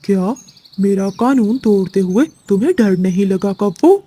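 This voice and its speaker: A woman's voice: mid-range voice, normal speed, warm tone, breathy edge, natural voice. A woman voicing a stern, authoritative pigeon who gives commands in a moral-story cartoon. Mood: stern, outraged. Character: stern pigeon, guardian of forest law and fruit.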